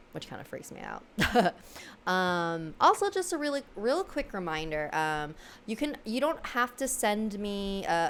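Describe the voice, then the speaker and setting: A woman's voice: fairly low pitch, a little hiss or hum. A young woman hosting, casually reading out viewer stories with giggles and lots of fillers between them. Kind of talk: casual read-aloud storytelling.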